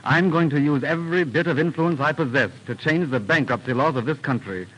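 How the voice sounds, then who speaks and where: A man's voice: on the high side, a little fast, a bit bright. An actor, a man, playing a loyal, impassioned statesman in dramatic 1930s radio style. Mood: indignant. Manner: earnest, dramatic. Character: loyal, impassioned statesman friend, indignant and concerned. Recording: noisy 1930s radio recording.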